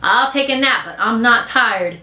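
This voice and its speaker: A whiny, stubborn storybook boy of about nine, as a woman reader puts him on. He is high-pitched and protesting, heard in an echoey room. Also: fast talker, close to an everyday voice. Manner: whining, protesting.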